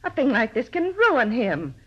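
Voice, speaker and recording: A woman's voice: a little high, big pitch swings, unhurried, breathy edge, exaggerated voice. An actress playing a touchy, self-important American landlady in a 1950s radio drama, talkative and defensive. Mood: uneasy, protesting. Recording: muffled old radio recording.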